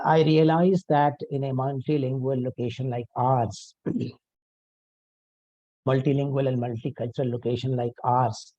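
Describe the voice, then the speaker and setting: Older guy giving a formal book talk, lots of uh's, very dark voice, muffled dull sound. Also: mid-range voice, no echo. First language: Urdu. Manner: measured, formal, with frequent "uh" pauses and self-corrections. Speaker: author presenting his book.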